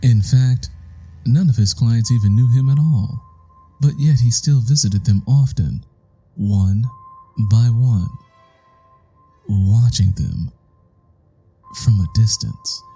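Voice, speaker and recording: A man reading a suspense story as a narrator, in a deliberate, measured and ominous way. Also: mid-range voice, dark tone, slightly rough, faint music behind. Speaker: audiobook narrator. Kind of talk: dramatic scripted suspense narration.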